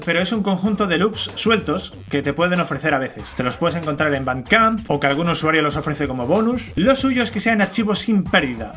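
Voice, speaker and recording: A man's voice: high-pitched, fairly bright tone. A man with a Spain Spanish accent presenting an informal tutorial in a colloquial, chatty way, with conversational asides.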